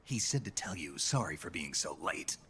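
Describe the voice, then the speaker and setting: Gruff, low-voiced soldier. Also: male voice, normal speed, slight background noise, exaggerated voice. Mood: firm. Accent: American. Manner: terse, deadpan. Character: gruff, stoic American special forces agent. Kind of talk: dramatic video game acting.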